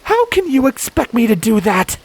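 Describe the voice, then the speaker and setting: Man putting on a high, fierce unicorn mare. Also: huge pitch swings, normal speed, heavily acted. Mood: indignant demand. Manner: barking, forceful, demanding. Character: fierce, brave female unicorn protector, commanding.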